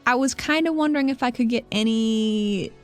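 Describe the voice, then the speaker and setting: A woman's voice: a little high, moderate pace, faint music behind. A woman playing a tabletop roleplaying game, casually improvising and describing what her character does.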